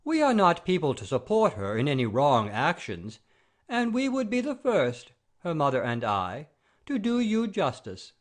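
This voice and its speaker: A man's voice: on the high side, wildly swooping pitch, moderate pace. An actor playing a proud, blustering baron and father-in-law in a theatrical comedy audio play, pompous and declamatory. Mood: stern, grave. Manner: pompous, oath-swearing, declamatory.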